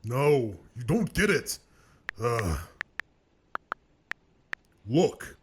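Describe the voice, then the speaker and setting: A man playing a vain, cowardly, excitable cartoon character with a theatrical, whiny and boastful delivery. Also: medium pitch, extremely slow, a little hiss or hum. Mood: irritated. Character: vain, cowardly, excitable man who insults his rival. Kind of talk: comedic cartoon voice acting.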